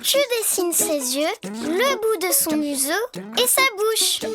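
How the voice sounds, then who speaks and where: An actor voicing an excitable, playful little girl who exclaims and asks questions in a preschool cartoon. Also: very high voice, slow, a loud crowd, heavily acted. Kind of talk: preschool educational cartoon dialogue. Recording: loud children's music under the voice.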